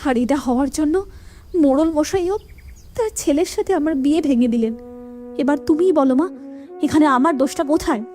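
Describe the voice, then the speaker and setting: A woman's voice: high voice, moderate pace, pauses often, warm tone, natural voice. A woman voicing a hurt, desperate young village woman in a cartoon, pleading and tearful.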